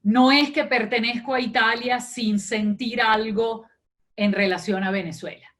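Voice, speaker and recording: Woman writer on a call panel, bit high voice, lots of ums and pauses. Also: steady tone, fairly bright tone. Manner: halting, with many fillers. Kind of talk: reflective discussion.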